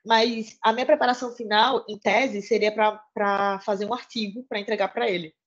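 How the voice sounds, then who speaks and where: A woman's voice: mid-range voice, steady tone, normal speed, muffled recording. Chatty young woman on a call. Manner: chatty, many fillers and self-corrections, earnest. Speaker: law student panelist. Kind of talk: informal talk to fellow students.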